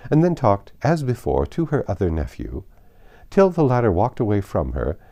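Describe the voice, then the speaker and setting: A man with a fairly low, mellow voice reads a classic novel aloud. He narrates in measured phrases, and his intonation rises and falls very widely. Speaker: audiobook narrator.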